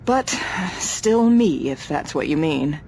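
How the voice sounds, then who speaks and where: A woman's voice: sits low, lively intonation, slow, mellow, slight background noise, exaggerated voice. An actress playing a composed, formal, dignified female president in a sci-fi game cutscene. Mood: poised, probing. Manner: formal, poised. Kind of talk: scripted game cutscene acting. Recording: game music behind the voice.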